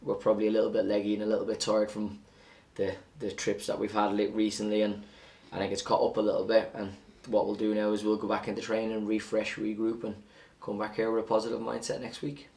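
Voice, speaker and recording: Male football coach, low gravelly voice, matter-of-fact post-match reaction, slightly off-mic. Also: monotone, fast, few pauses, darkish tone, far from the mic.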